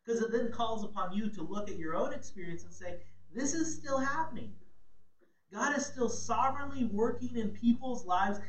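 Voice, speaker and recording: Male pastor, high voice, emphatic sermon delivery, distant mic in an echoey room. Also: slight background noise. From Ghana. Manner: emphatic, rises sharply on key points.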